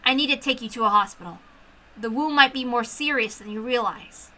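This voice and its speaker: A woman voicing a protective, resolute soldier, earnest and forceful, in a dramatic story reading. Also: on the high side, brisk. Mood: concerned. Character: protective, resolute Japanese soldier, adult man.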